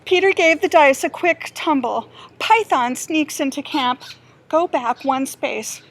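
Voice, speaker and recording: Female children's storybook narrator: high pitch, very unhurried delivery, expressive, teacherly. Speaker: teacher reading to pupils.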